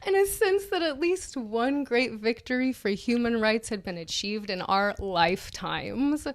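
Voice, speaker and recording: A woman's voice: on the high side, expressive, measured pace. A woman co-hosting a comedy podcast, reading an article aloud in a casual, mocking way with giggly asides. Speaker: podcast co-host.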